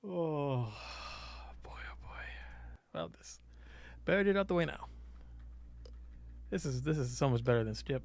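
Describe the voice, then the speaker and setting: Flustered male game streamer, slightly creaky voice. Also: a little high, animated, a little slow, pauses often, dry recording. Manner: flustered, apologetic, self-deprecating. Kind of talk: casual live game stream commentary with read-aloud game text.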